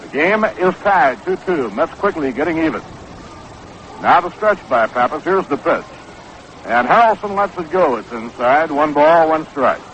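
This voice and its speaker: A man with a slightly high American voice calls a baseball game play-by-play. The style is 1960s radio sportscasting. The recording is a little muffled, with faint noise behind him. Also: very animated, normal speed, fairly bright tone. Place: outdoor ballpark broadcast booth. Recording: dull, noisy 1960s AM radio broadcast.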